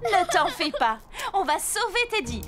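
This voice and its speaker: A woman's voice: very high-pitched, big pitch swings, moderate pace, heavy background noise. A woman voicing a cheerful, capable lady rabbit who takes charge in a French-dubbed preschool cartoon. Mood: cheery. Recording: loud music behind.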